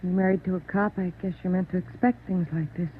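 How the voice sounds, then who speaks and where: A woman's voice: on the low side, fairly even intonation, fast, close to an everyday voice. An actress playing a stoic, grieving policeman's widow, speaking with solemn restraint in a 1940s radio drama. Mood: subdued grief. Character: stoic, grieving young policeman's widow, gentle but resolute. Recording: tinny, faintly noisy old radio recording.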